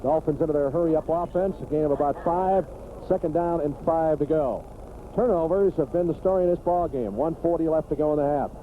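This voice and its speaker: High-pitched male football announcer on tinny radio. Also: brisk. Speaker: play-by-play sports announcer. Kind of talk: live American football radio commentary. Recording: noisy tinny 1980s radio broadcast.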